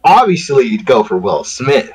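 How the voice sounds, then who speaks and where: A man's voice: mid-range voice, very animated, normal speed, husky. A man chatting casually and jokingly, building up mock arguments in a theatrical way. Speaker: guest voice reader on a stream.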